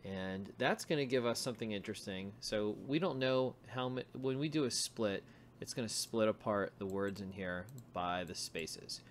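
Male tutorial instructor, lowish voice, informal and thinking aloud, with noticeable noise and keyboard typing behind him. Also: normal speed.

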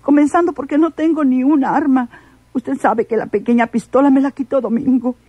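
An actress with a Mexican accent playing a frightened, hesitant underling of a crime boss in a radio drama. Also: female voice, high voice, fairly even intonation, moderate pace, slightly rough, no room sound, dull audio. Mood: afraid. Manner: hesitant, timid, pleading.

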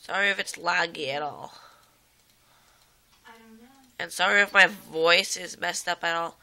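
Sick young girl rambling thru a game on a phone cam, gravelly voice, lots of um and like. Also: on the low side, fairly even intonation, unhurried, bright, forceful tone. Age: child or young teen. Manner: rambling, frequent "um" and "like", exclaims at the game. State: sick, voice a bit rough. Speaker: gameplay vlogger. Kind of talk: casual gameplay chat. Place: home room by a computer. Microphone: phone camera filming a screen.

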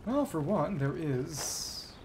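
A man's voice: on the high side, sing-song, takes it slowly, a little mellow, slight background noise, poor audio. A man streaming a video game, giving casual live commentary in short fragments, muttered to himself.

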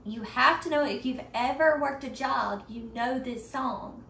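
A woman in her thirties with a slightly high voice talks brightly to her viewers while baking. She is recorded by a camera set across her home kitchen, and a faint hum sits under her voice. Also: measured pace, vocal fry. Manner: chatty, upbeat, talks straight to viewers. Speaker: baking vlogger. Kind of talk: casual baking tutorial.

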